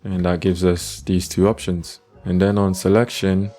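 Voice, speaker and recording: A man teaches programming step by step in a screencast. His voice is low and dark, with very little rise and fall, and he sounds calm and methodical. Faint music plays behind him. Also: moderate pace. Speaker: programming tutorial instructor. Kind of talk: step-by-step screencast coding tutorial.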